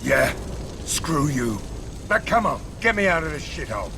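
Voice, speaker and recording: An actor playing a grizzled older male mercenary in a video game, gruff, blunt and foul-mouthed, with a gravelly voice. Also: very animated, normal speed. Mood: defiant. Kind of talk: dramatic video game voice acting. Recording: loud music and machinery behind. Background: loud game score, mechanical rumble.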